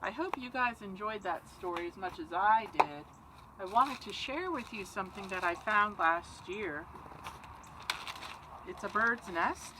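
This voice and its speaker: A woman reading a children's story and chatting, expressive, with simple child-directed phrasing. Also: medium pitch, background noise. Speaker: story-time reader for children.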